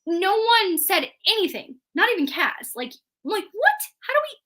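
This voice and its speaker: A woman co-hosting a book discussion, chatting casually in an animated, emphatic, informal way. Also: extremely high pitch, moderate pace. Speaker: book review show co-host. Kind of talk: casual chat about books.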